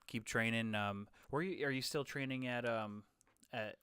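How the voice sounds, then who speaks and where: A young man hosts a relaxed podcast chat. His voice is bright and crisp, and the sound is dry and close. He is easygoing and laughs often. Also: medium pitch, moderate pace, dry recording. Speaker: podcast host.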